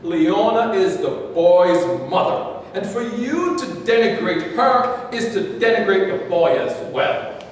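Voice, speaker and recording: A man's voice: high voice, slow. A man reading the part of a pompous male advocate who speaks formally in a staged reading. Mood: indignant. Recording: echoey hall from far off, faint noise.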